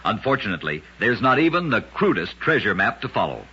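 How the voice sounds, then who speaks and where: A man narrating scripted oddities as an old-time radio host, with a dramatic, emphatic delivery and deliberate pauses. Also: fairly low pitch, very animated, bright, forceful tone, husky. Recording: dull, lo-fi old radio recording.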